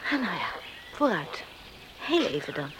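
A woman's voice: on the low side, sing-song, unhurried. An actress in a radio drama playing a coy young village woman who teases and resists her sweetheart. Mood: hushed, hesitant. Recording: noisy old radio play recording.

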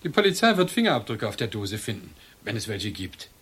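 A man's voice: fairly low pitch, sing-song, a little fast, fairly bright tone, slightly rough, full character voice. An actor playing a stern, insistent widower in a radio crime drama, pressing and pointed in his accusations. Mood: grim, curt. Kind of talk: German radio crime play acting.

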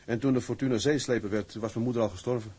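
A man's voice: mid-range voice, steady tone, fast talker, darkish tone, slightly rough, lightly acted. A man playing a modest young sailor. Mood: earnest. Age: 20s. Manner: polite, earnest, respectful.

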